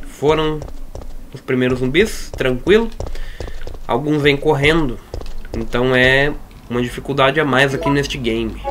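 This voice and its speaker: A man's voice: mid-range voice, a bit bright, slight background noise. A man with a Brazilian accent giving casual, slangy let's play commentary as he plays. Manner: informal, slangy. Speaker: gaming YouTuber.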